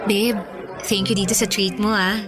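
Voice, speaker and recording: A woman's voice: mid-range voice, level tone, normal speed, over music, crowd noise, close to an everyday voice. A woman acting a spendthrift, playful young girlfriend who gets testy when questioned, in a Filipino radio drama with a Filipino accent. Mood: teasing, affectionate.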